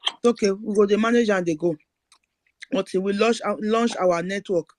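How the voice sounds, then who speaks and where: A woman's voice: mid-range voice, fairly quick, no echo. A woman hosts an online audio room, politely calling speakers up and handing them the mic. The sound is dry and close. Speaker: host.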